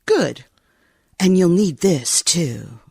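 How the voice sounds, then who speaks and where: Woman playing a husky, cool femme fatale. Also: lowish voice, lively intonation, extremely slow, dark tone, dry recording, studio quality, heavily acted. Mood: firm, commanding. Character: cool, sultry, tough femme fatale client. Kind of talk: old-time radio detective acting.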